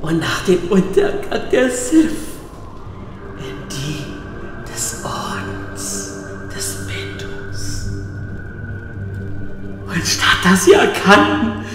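A haunted, half-mad space-mystic master raves in an audio drama, his pitch leaping up and down. He speaks slowly and feverishly, circling back on his words. The voice swims in heavy echo over music. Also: sing-song, full character voice. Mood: haunted, intense. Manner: feverish, halting, repeating phrases obsessively. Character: mad, tormented male Jedi master, raving and haunted. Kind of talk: German audio drama acting. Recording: heavy echo and long hall reverb.

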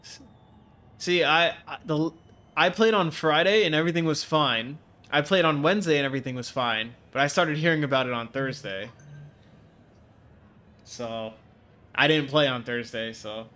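A man's voice: on the high side, normal speed, pauses often, pushed and bright. A young man with a stuffed-up nose chatting casually with viewers while he streams a racing game from home, reading out chat and joking along. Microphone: desk mic, webcam framing.

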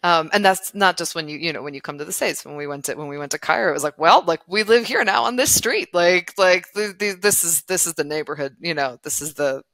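Woman author, first language serbo-croatian, low voice, lots of restarts, dry close sound. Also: lively intonation, fairly bright tone, no echo. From former Yugoslavia. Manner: thoughtful, halting, with many restarts. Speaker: novelist guest. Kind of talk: podcast interview.

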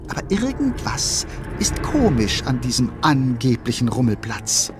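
A man's voice: medium pitch, wildly swooping pitch, a little slow, over music. A man narrating a children's audio play in a wry storytelling manner, speaking to the listener.

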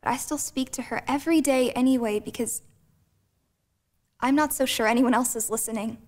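A woman's voice: high voice, normal speed, a little mellow, natural voice. A young woman performing a dramatic monologue as an anxious, vulnerable girl who longs for affection. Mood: nervous, confiding. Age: teens to young adult.

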